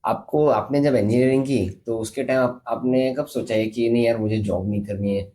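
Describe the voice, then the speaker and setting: A man's voice: medium pitch, fairly even intonation, normal speed, mellow, a little hiss or hum. A man with an Indian accent hosting a podcast interview in a conversational way. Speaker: podcast host. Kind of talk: conversational Hindi-English interview.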